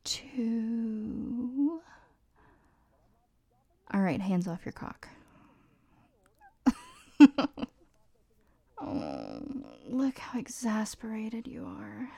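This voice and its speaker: A young woman whispers close to the mic in a breathy, mellow voice. She talks very slowly, teasing and giving orders with little laughs in between. The sound is dry and intimate, with no room around it. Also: fairly high pitch, dry recording. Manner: mostly whispered; teasing and commanding, with soft laughs. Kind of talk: whispered audio roleplay.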